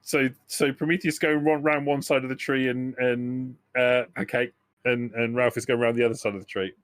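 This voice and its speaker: Man, game master, improvised scene narration, halting and rephrasing, dry close recording. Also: mid-range voice, flat delivery, fairly bright tone, no room sound.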